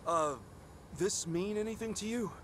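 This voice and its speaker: An actor playing an eager, friendly, excitable adult traveler, the earnest hero of a video game. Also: male voice, high voice, moderate pace, slight background noise. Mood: eager, hopeful.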